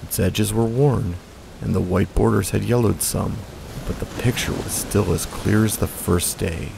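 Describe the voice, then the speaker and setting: A man narrating a story in measured, dramatic tones. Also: on the low side, fairly even intonation, vocal fry, background noise. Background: music.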